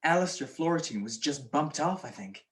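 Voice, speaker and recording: An actor playing a grumpy, wisecracking married gentleman sleuth with sardonic wit in a radio comedy-mystery. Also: fairly high pitch, expressive, moderate pace, lightly acted. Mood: brisk, wry.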